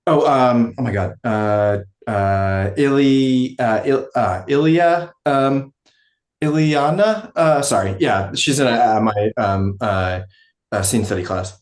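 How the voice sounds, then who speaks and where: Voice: mid-range voice, slow pace, darkish tone. An acting class participant chatting casually, often hesitating and searching for words, in a roomy, echoing recording. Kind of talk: casual chat.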